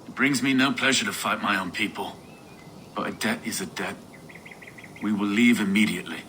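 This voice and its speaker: Dutiful Saxon fighter, lowish bright voice, sober, reluctant, video game drama. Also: male voice, moderate pace, a little hiss or hum.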